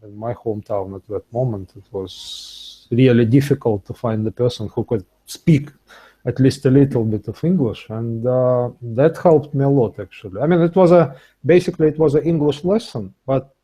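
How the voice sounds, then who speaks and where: A man speaking English as an in a casual interview, with halting, repetitive phrasing. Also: mid-range voice, dark tone, husky. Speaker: interview guest, English learner.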